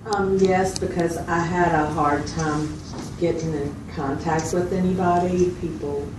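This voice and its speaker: A woman's voice: lowish voice, fairly even intonation, measured pace, lightly acted. A woman playing a reserved mental health client, speaking in a plain, hesitant way. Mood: discouraged. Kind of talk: acted clinical interview. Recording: roomy, distant mic, noticeable background noise.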